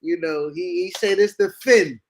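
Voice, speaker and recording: A man from New co-hosting a casual wrestling podcast, loud and slangy with hype-man energy. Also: sits high, lively intonation, moderate pace, creaky, a little hiss or hum. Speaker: podcast co-host.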